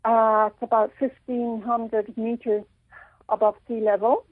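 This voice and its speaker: A woman from Switzerland with a slight Irish accent, a radio interview guest who talks haltingly with many pauses and restarts. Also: fairly high pitch, flat delivery, dry recording. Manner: halting, full of ums and restarts. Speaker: guest author. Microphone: telephone. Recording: tinny phone line.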